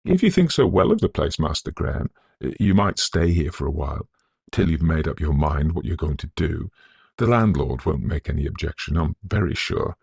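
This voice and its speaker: Loyal manservant voiced by a male narrator, Victorian ghost story, grave, deferential, husky and close-recorded. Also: rumbling low, sing-song, a little mellow, dry recording, heavily acted. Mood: earnest concern. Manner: earnest, respectful, measured period phrasing. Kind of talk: dramatised audiobook ghost story.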